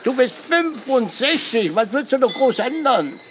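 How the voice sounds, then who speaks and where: A man's voice: very high voice, sing-song, measured pace, slight background noise, exaggerated voice. An actor in a radio drama playing a nagging, blunt older man who grumbles and mocks. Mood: grumbling, exasperated. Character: grumbling, nagging old friend, mocking and blunt.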